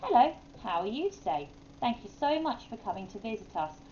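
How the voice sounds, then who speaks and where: A woman voicing a kindly, patient goose schoolteacher in a storybook, explaining things in a measured way. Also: a little high, big pitch swings, mellow, highs cut off, slight background noise.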